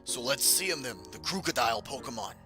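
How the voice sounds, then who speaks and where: A man voicing a bossy, impatient ghost king in a loud, screechy put-on voice, with music playing behind. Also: medium pitch, animated, fast, natural voice. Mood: commanding.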